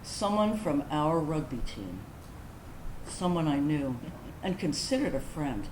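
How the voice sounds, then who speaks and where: Actress as candid woman veteran, animated, echoey room. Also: low-pitched. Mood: hurt, subdued. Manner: confessional, conversational storytelling, wry, with deliberate pauses. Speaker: actor in a staged reading. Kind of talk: dramatic first-person monologue in a staged reading. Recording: roomy echoing stage recording, background noise.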